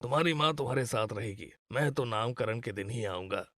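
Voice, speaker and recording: A man's voice: mid-range voice, brisk, fairly bright tone, no room sound, clean studio sound, close to an everyday voice. A man playing a genial, busy older businessman grandfather in a cartoon moral story, speaking emphatically.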